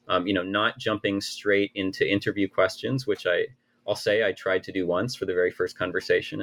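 A man in his thirties, an author answering interview questions in a measured, hedging academic way with frequent restarts. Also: fairly low pitch, creaky, a bit bright. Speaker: author and researcher.

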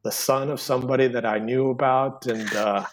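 A man's voice: mid-range voice, fairly even intonation, moderate pace, slight background noise. A man in his sixties, born in Cuba, talks through a podcast interview as the guest author. He hesitates constantly, filling gaps with small fillers and backing up to restart his sentences.

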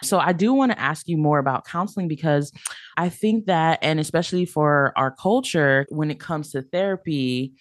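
A woman's voice: low voice, moderate pace, dry recording, clean studio sound. Upbeat woman hosting a podcast. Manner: upbeat, enthusiastic. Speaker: podcast host. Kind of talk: podcast intro and interview.

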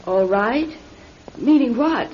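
High-voiced anxious woman. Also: lively intonation, slow pace. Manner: worried, hesitant, pleading. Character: anxious, fragile woman fretting over her injured sister. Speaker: radio drama actor. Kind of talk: classic radio drama acting. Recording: dull, lightly noisy old radio recording.

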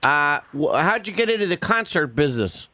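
A man hosting a casual studio podcast, joking and animated as he chats and interviews. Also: on the high side. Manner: joking, animated, quick to laugh. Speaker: podcast host.

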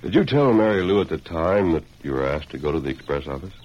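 An actor playing a calm, authoritative masked hero in an old radio western, husky and measured in his delivery. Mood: calm, earnest. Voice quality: very deep, husky. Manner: measured, earnest, commanding. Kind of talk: 1940s-50s radio western acting. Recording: dull lo-fi old radio recording.

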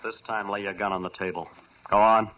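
A man's voice: fairly low pitch, little pitch movement, fairly quick, background noise, lightly acted. A man playing a brash young man, hotheaded at first and later shaken and earnest, in an old radio drama. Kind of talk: 1940s radio drama acting. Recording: dull lo-fi old radio recording.

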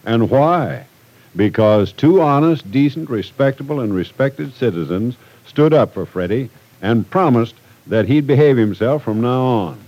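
An actor playing a stern, unforgiving middle-aged businessman and father in an old radio drama, gruff, sarcastic and emphatic. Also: medium pitch, lively intonation, a little slow, darkish tone, rough recording. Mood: indignant, sarcastic.

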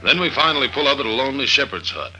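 A man's voice: mid-range voice, very animated, racing, sharp tone, slight background noise, low-quality recording. A man playing a hard-boiled, no-nonsense investigator who narrates his own adventure in clipped sentences, in 1950s radio drama style. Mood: terse narration. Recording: old radio recording with music.

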